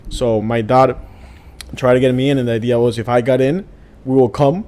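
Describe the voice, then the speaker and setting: A man's voice: mid-range voice, normal speed, mellow. A man, a podcast guest chatting casually and rambling with lots of fillers. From Venezuela. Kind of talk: casual interview chat.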